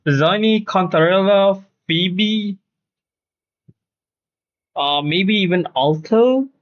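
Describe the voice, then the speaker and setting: A man's voice: high-pitched, drawn-out words. A man reacting casually as a live game streamer, slangy, informal and exclamatory. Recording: dull, lo-fi microphone sound.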